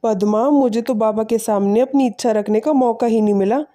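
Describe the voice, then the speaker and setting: A woman voicing a humble, selfless poor village man in an animated moral story, speaking politely and earnestly. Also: on the high side, a little fast, close to an everyday voice. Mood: humble, modest.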